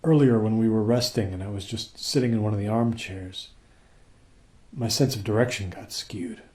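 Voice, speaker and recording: Dark-toned, uneasy junior space crewman played by a man. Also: lowish voice, natural voice. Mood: unsettled, bewildered. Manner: halting, pensive, deferential. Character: unmoored, deferential male junior crewman on a space station. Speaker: actor in a radio play. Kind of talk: naturalistic radio play acting.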